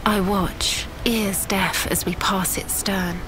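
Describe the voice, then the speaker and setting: A woman acting as a desperate sailor and parent, narrating a storm at sea in intense, halting fragments for an audio drama. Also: mid-range voice, fairly even intonation, lightly acted. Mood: dazed, hushed. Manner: intense, breathy, halting delivery in short fragments. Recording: dramatic music under the voice.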